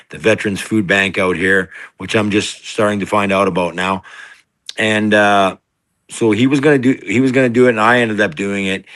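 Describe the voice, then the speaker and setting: Middle-aged singer-songwriter, very low and deep, rambling and chatty, dry close sound. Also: male voice, a bit bright, no room sound. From Canada. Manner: rambling, full of fillers and restarts. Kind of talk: casual interview storytelling.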